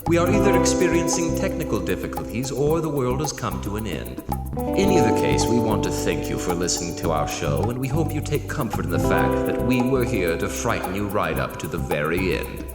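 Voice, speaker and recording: Man as a deep, echoing horror announcer. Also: very animated, fairly quick. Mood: mock-solemn. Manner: formal, solemn, grandiose. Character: grave, pompous horror show announcer. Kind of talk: campy horror radio announcement. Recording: reverberant hall, loud music behind.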